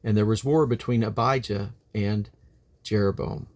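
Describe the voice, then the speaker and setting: A man reading from the King James Bible in a solemn, deliberate manner, with emphatic phrasing and drawn-out endings. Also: fairly low pitch, fairly even intonation, darkish tone. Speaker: Bible reader.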